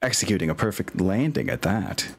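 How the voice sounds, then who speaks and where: A man's voice: deep voice, expressive, brisk. A man, middle-aged, chatting casually and a bit ramblingly as he wraps up an online tabletop game as game master.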